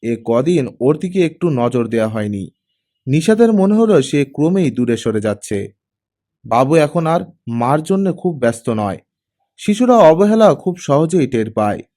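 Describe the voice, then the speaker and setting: A man's voice: on the high side, sing-song, a little slow, dark tone. A man reading a scripted story as an audiobook narrator.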